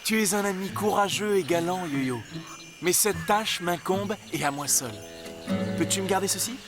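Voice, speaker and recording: A man's voice: high voice, normal speed, close to an everyday voice. A man playing an earnest young prince in a cartoon fairy tale, courtly, formal and heartfelt. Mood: noble, resolute. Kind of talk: animated fairy tale dub. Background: music.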